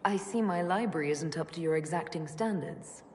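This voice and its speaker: An actress playing a composed, dry-witted mage leader in a video game, speaking in an understated way. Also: female voice, low voice, moderate pace, lightly acted. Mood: dry, teasing. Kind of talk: video game cutscene acting. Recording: hall reverb, faint noise.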